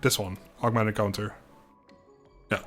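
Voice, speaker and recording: A man's voice: fairly low pitch, level tone, a little slow, a bit bright. A man giving casual, rambling, self-deprecating commentary as he livestreams a video game. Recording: music playing behind the voice.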